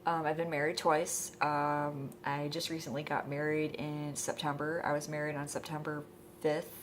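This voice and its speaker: Late-20s woman, stuffed up with a cold, low voice, lots of um, bit of faint hiss behind. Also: flat delivery, moderate pace. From Michigan. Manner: rambling, hesitant, full of ums. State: congested from a cold. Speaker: vlogger. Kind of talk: casual introduction vlog. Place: indoors at home.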